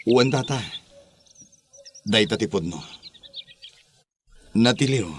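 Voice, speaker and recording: A man's voice: on the low side, slow, a little hiss or hum. A man playing a respectful, earnest younger man bearing sad news in an Ilocano radio drama, with an Ilocano Filipino accent. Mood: regretful.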